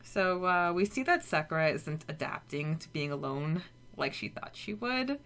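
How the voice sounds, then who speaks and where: A woman's voice: low-pitched, expressive, moderate pace, fairly bright tone. A woman co-hosting a podcast, casually recapping and discussing a story, often acting out bits of imagined dialogue. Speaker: podcast co-host.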